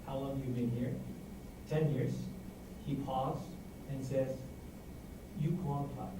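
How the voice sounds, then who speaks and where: A man from Turkey with a Turkish accent, an animated panelist telling a story at a community forum who stammers when he searches for words. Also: mid-range voice, unhurried. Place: library meeting room. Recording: echoey room, distant mic, noise.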